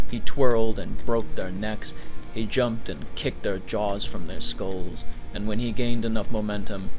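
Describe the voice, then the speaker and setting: Male audiobook reader, low voice with gravel, measured, faint music underneath. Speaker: audiobook narrator. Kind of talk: scripted audiobook narration.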